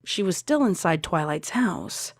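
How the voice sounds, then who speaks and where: A woman's voice: on the low side, big pitch swings, unhurried, dry recording, clean studio sound. A woman narrating a scripted story as a fan fiction reader. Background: music.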